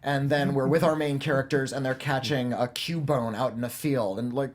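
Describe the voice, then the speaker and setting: A man's voice: medium pitch, steady tone, normal speed. A man hosting a casual panel film review, talking in an analytical, discursive way. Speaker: film critic and panel host.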